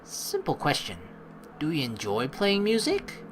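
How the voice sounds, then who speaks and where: A woman hosts a let's play in an informal, animated way. Her voice sits fairly low but moves around a lot in pitch as she comments and reads dialogue aloud. Faint game music plays behind her. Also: unhurried.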